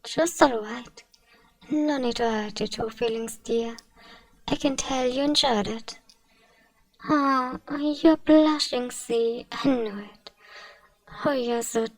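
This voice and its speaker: A woman playing a kind, doting vampire mistress in an ASMR roleplay, speaking softly with genteel endearments and often slipping into whispers. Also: a little high, creaky, no echo, far from the mic, rough recording. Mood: soothing, teasing. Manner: soothing, coaxing, genteel endearments.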